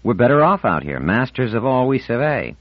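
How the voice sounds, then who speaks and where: Man playing a breezy teenage boy, lifted pitch. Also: very animated, brisk, no echo, lo-fi sound. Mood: cheerful, reasoning. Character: cheerful, teasing teenage boy, nearly grown up.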